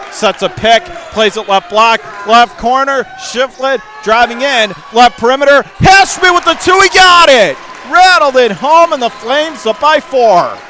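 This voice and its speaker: An American man giving live, excitable radio play-by-play of a school basketball game in clipped phrases. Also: high-pitched. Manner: excitable, clipped running call of the action. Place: courtside at a school gymnasium. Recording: gym crowd noise, faint background hiss. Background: crowd and bouncing basketball.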